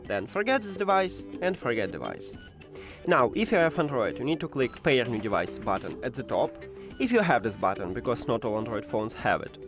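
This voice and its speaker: Lively non-native English-speaking man giving tutorial. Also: mid-range voice, brisk, music in the background. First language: not English. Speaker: tech tutorial presenter. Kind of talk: step-by-step how-to narration.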